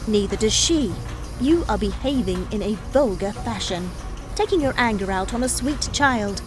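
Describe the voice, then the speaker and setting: A woman's voice: mid-range voice, animated, normal speed, over music, close to an everyday voice. An actress in an audio drama playing a genteel period lady, curious and righteous, with proper, old-fashioned diction. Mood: firm, indignant.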